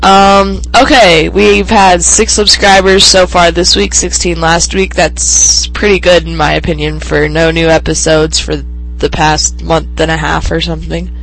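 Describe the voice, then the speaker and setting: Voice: medium pitch, level tone, unhurried, creaky, few pauses, fairly bright tone, slight background noise, low-quality recording. Teen podcast host with a stuffy cold. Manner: rambling, many ums and restarts. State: congested with a cold, sniffling. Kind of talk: casual solo podcast chat.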